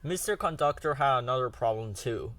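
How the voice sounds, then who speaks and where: A man reading a children's picture book aloud in a lively, expressive storytelling manner. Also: medium pitch, brisk, slight background noise.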